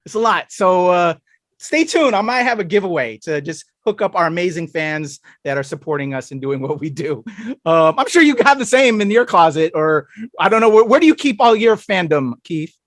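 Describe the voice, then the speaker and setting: Male podcast host: high, dry, hyped-up and chatty, hosting a guest on a video call. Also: expressive, normal speed, no echo. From United States. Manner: hype-man energy, big shout-outs, loose and chatty. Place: home room full of toys and collectibles.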